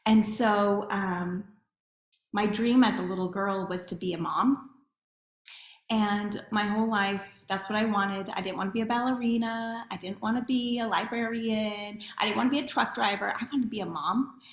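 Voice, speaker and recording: A woman's voice: medium pitch, moderate pace, reverberant. A woman in her thirties from Chile, a podcast guest telling her life story in a candid, reflective way with frequent "right?". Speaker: podcast guest, community builder. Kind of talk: personal storytelling interview.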